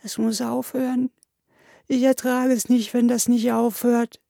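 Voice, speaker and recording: A woman's voice: on the low side, steady tone, measured pace, vocal fry, darkish tone, husky, no room sound, clean studio sound, exaggerated voice. A woman voicing a drunk, despairing young male student in an audiobook, plaintive and childish, with slurred, tearful speech. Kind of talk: audiobook character acting.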